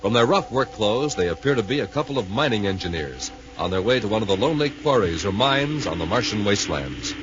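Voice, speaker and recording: An actor serving as narrator of a 1950s radio space serial, with a dramatic, formal scripted delivery. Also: male voice, on the low side, very animated, fairly quick, hardly any pauses, music in the background. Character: dramatic male announcer narrating a space adventure. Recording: very noisy, muffled 1950s radio recording.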